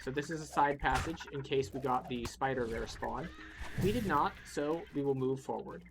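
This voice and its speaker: A man's voice: medium pitch, normal speed, vocal fry, background noise. A man giving step-by-step playthrough commentary as a video game guide, explaining things in an instructive way.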